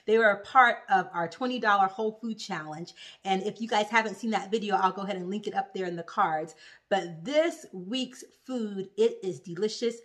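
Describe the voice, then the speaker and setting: A woman's voice: medium pitch, moderate pace, fairly bright tone. A woman hosting a cooking vlog from her home kitchen, chatting upbeat with viewers and checking in with "okay?" and "you guys". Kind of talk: casual how-to chat to viewers.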